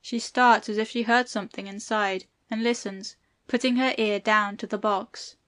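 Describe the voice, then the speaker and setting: Woman narrator with medium pitch. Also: fairly even intonation, moderate pace, fairly bright tone. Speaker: narrator reading stage directions. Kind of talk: scripted audiobook narration.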